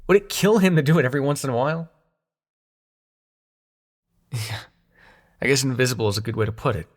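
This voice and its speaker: Man playing a moody, expressive young dream god. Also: mid-range voice, brisk, lightly acted. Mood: subdued, hurt. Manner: modern slangy, sweary, sarcastic, emotionally expressive. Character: moody young male dream god, sarcastic, wounded, fond. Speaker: voice actor in audio roleplay. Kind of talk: intimate audio roleplay acting.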